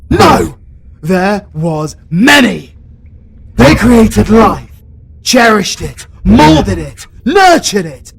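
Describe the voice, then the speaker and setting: British man playing a young demon hunter, anguished, desperate, gravelly edge, audio roleplay, loud ambience. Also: high-pitched, sing-song, very slow. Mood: furious. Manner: pleading, desperate, breaks into shouts. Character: anguished young male demon hunter, hero fighting possession. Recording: loud ambient noise behind.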